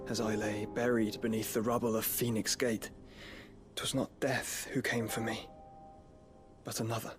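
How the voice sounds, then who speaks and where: A man's voice: on the low side, close to an everyday voice. An actor playing an earnest, learned young nobleman in a fantasy game, speaking solemnly in formal, archaic phrasing. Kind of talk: dramatic fantasy game cutscene acting. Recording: music behind the voice. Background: music.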